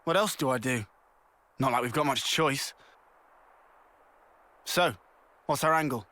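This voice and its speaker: A man's voice: medium pitch, measured pace, pushed and bright. A man playing a brash, grumbling young soldier in a video game, blunt and grouchy. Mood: grudging, irritated.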